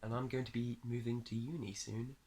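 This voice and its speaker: A young man who is ill, chatting casually in his bedroom, hesitant and rambling and trailing off quietly. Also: medium pitch, little pitch movement, brisk. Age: young adult. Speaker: interviewee. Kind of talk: casual chat.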